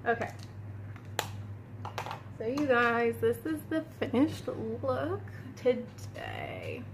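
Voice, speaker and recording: A woman's voice: fairly high pitch, huge pitch swings, very slow, noisy background. A woman giving a casual makeup tutorial at home, chatting to viewers as she applies her products, sniffling a little. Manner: chatty, talks while applying makeup, addresses viewers as "you guys". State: sniffling from a cold room. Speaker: beauty vlogger. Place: indoor room at home.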